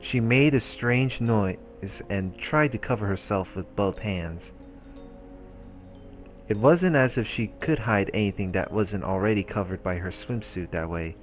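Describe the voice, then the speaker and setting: A man's voice: lowish voice, a little slow. A man reading visual novel narration aloud as a let's play host, with casual asides. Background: game music.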